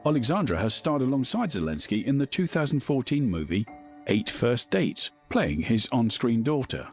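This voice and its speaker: A man's voice: medium pitch, big pitch swings, moderate pace, soft background music. A man reading a scripted biographical narration as a documentary narrator.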